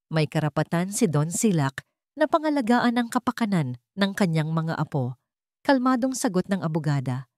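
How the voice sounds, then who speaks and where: A woman's voice: sits low, a little mellow, no room sound, studio quality. A woman with a Filipino accent narrating a dramatic scripted love story.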